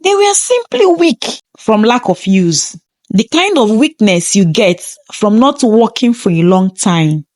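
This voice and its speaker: A woman's voice: fairly high pitch, very animated, measured pace, a little mellow, no room sound, clean studio sound. A woman reading a scripted first-person story in a dramatic, suspenseful manner.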